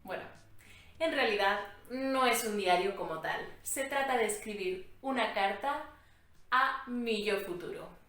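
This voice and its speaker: A woman with a Spain Spanish accent, a Spanish teacher explaining writing practice in a clear, patient way. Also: medium pitch, unhurried, fairly bright tone. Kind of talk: educational vlog narration. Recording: echoey room, mic at a distance.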